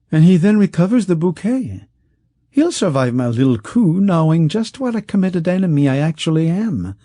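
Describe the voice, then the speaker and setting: A silky, condescending rich wizard who schemes and wheedles, as an audiobook narrator puts him on. His voice sits higher than the narrator's own, lively and swooping, soft-toned and a little husky. Dry, close recording. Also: dry recording.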